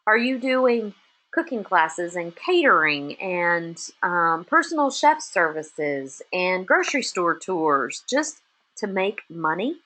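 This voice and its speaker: A woman with a Texas drawl presents a webinar for business owners, her voice pitched on the lower side. She lands her points in short, punchy lines. Her delivery swings between brisk and drawn out. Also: low-quality recording. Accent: Texan. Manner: emphatic, punchy short statements with lively rises. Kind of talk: motivational webinar talk.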